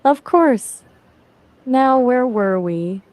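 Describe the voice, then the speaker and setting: A woman's voice: fairly high pitch, expressive, very slow, darkish tone, no echo. A woman's voice from an AI conversation partner, chatting casually in a friendly, attentive way and asking follow-up questions. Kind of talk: casual chat.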